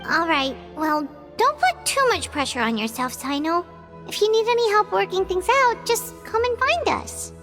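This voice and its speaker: A woman's voice: very high voice, sing-song, moderate pace, a bit bright, faint music behind, exaggerated voice. A woman voicing a small, eager fairy girl companion in a video game, with a chirpy, urgent manner. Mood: encouraging.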